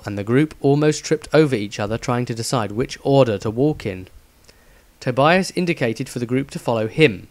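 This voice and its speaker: Male narrator, medium pitch, for dramatic fantasy audiobook storytelling.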